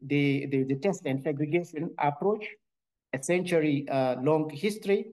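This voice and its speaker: A man from Ethiopia with an Ethiopian accent, giving a conference presentation on his research and pausing often with filled pauses and restarts. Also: on the high side, a little mellow.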